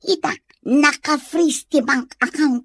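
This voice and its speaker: A woman's voice: sits high, slow, fairly bright tone, no echo. A woman with a Filipino accent playing a conniving accomplice who eggs on a schemer in a radio drama. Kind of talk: Ilocano radio drama acting.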